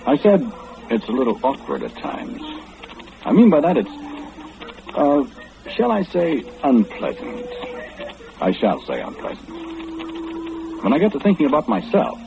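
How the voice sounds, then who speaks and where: Muffled, faintly noisy vintage radio recording, genteel know-it-all, weary, chatty, hesitant, highly animated intonation, 1940s drama monologue. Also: male voice, unhurried, vocal fry, long pauses, full character voice. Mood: musing, fussy. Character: mild fussy know-it-all man, genteel, weary of omniscience.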